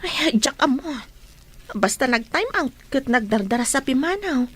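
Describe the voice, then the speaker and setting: A woman's voice: sits high, big pitch swings, normal speed, faint music behind. A woman playing a caring, inquisitive young confidante in a radio drama, with an Ilocano accent. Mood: concerned.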